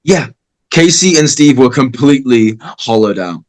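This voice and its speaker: A man acts out a goofy, brash high-school boy in a slasher story. His pitch leaps around excitably, with a crass, animated delivery. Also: a little slow, close to an everyday voice. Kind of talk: live table read of a slasher film script.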